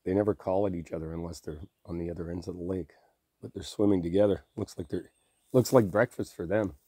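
A man playing a deep-voiced, soft-spoken cozy boyfriend. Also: steady tone, breathy edge, no echo, clean studio sound. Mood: quietly curious. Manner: soft, drowsy, intimate, unhurried. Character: affectionate, easygoing boyfriend on a trailer getaway. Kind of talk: boyfriend ASMR roleplay.

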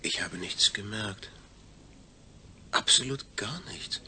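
Fragender Astronaut mit heller, tiefer Stimme. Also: male voice, fairly low pitch, lively intonation, takes it slowly, pushed and bright, a little hiss or hum, heavily acted. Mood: relieved, curious. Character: astronaut Ingolf Stein, uneasy brain-surgery volunteer, inquisitive. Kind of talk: German radio play acting.

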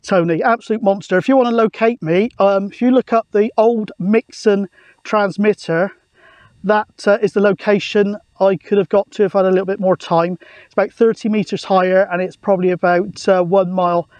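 A man's voice: sits high, fairly even intonation, brisk, a little mellow, dry recording. A middle-aged man chatting casually outdoors as a vlogger and CB radio operator. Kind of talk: casual vlog talk to camera and radio chat. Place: outdoors on open hillside in countryside.

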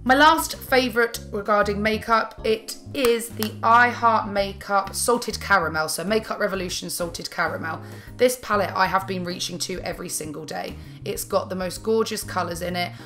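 Croaky-voiced woman gushing about beauty favourites. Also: mid-range voice, a bit bright. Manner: chatty, gushing and enthusiastic. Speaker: beauty vlogger. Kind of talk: casual favourites haul chat. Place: small bright room at home. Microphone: camera mic, close framing.

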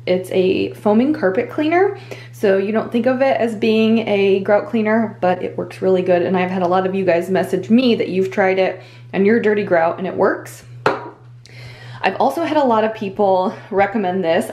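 A woman's voice: medium pitch, moderate pace, darkish tone. A young adult woman giving casual, upbeat voice-over commentary for a home cleaning video, with small filler words. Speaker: cleaning vlogger. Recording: echoey room with faint background music.

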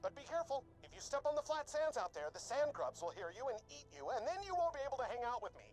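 A woman's voice: high voice, big pitch swings, quick pace, low-quality recording. An actress voicing a chirpy, lonely young woman in a desert oasis, clingy and teasing, from a video game with music behind. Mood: playful.

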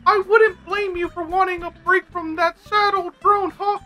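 A performer playing a grinning, smug TV good-news anchorman in an animated film, sunny and upbeat. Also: very high voice, a little slow, faint music behind, exaggerated voice. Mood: smugly cheerful.

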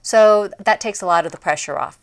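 A woman in her forties, a town mayor, answering interview questions in a measured, upbeat way in a small studio. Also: mid-range voice, vocal fry. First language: English. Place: small TV studio set, indoors.

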